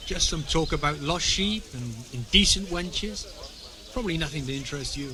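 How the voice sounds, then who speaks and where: A man's voice: on the high side, expressive, moderate pace, crowd noise, close to an everyday voice. An actor playing an impatient, gossipy medieval man in a video game, brusque and sardonic. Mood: gossiping. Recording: music behind the dialogue. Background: background music.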